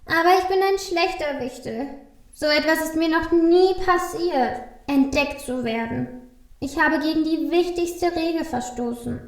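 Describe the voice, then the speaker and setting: A woman's voice: high voice, unhurried, roomy sound. A woman playing a small, fretful, guilty male Christmas elf who stammers in a children's radio play. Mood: confessing, ashamed. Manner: fretful, stammering, repeating exclamations of dismay.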